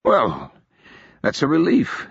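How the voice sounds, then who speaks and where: A man doing a high, whiny hungover officer. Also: huge pitch swings, takes it slowly, breathy edge, dry recording, highs cut off, heavily acted. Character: drunken foppish male officer, hungover, carefree.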